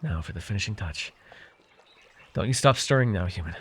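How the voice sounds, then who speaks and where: A man's voice: lowish voice, animated, fairly quick, slight background noise, natural voice. A man playing a chatty, wry and friendly fae potion brewer in a fantasy audio roleplay, talking to the listener with hesitant asides. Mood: explanatory, focused.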